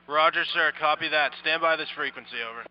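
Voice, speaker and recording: A man's voice: on the high side, fairly quick, crowd noise. A man working as a coast guard radio operator, speaking in clipped, formal procedure over VHF radio. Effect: VHF marine radio. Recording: muffled VHF radio transmission.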